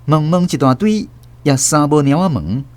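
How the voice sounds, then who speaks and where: A man's voice: on the high side, animated, moderate pace, no echo. A man with a Taiwanese accent, telling a story as the narrator of a radio drama. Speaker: host and narrator.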